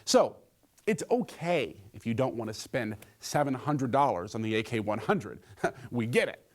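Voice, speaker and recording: A man's voice: medium pitch, very animated, moderate pace. A man presenting a scripted gadget review, with a joking streak in his delivery.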